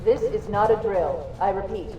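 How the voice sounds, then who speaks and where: An actress playing a composed warship computer in a video game, giving formal, clipped announcements over a public-address system. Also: female voice, medium pitch, normal speed, warm tone, slight background noise. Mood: formal, urgent. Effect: ship public-address system. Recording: echoing, dull, distant public-address sound.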